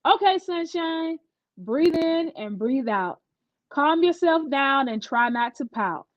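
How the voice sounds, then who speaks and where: A woman plays a playful, protective schoolgirl best friend in a children's story. Her pitch is high and very animated. She sounds reassuring with a touch of sass, in a dry, slightly muffled recording. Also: slow, no echo. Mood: helpful.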